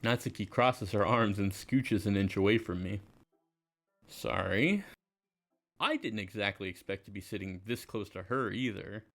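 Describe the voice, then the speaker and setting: A man playing an awkward, mild high school boy, narrating in first person with a hesitant, understated delivery. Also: animated, unhurried, fairly bright tone, faint music behind. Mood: dry, understated. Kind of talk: first-person visual novel narration and dialogue.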